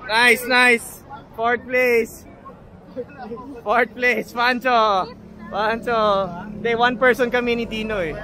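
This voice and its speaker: A man's voice: extremely high pitch, flat delivery, takes it slowly. A young man with a Filipino accent chatting casually and upbeat to camera, switching between Tagalog and English. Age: young adult. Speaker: vlogger, cyclist. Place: outdoors on a roadside and open bamboo hut. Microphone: action camera at arm's length. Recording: outdoor action camera, loud background music, chatter.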